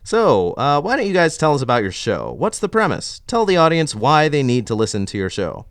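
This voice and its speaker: Animated male podcast host. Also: on the high side, a little fast, no room sound, studio quality. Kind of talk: comic interview hosting.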